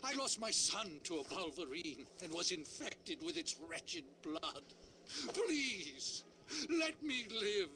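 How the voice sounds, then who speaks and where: A man's voice: high voice, sing-song, slow, background noise, heavily acted. An actor playing a cursed male beast in a video game puppet show, desperate and melodramatically pleading. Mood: anguished, pleading. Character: cursed male beast-man, anguished, begging for life.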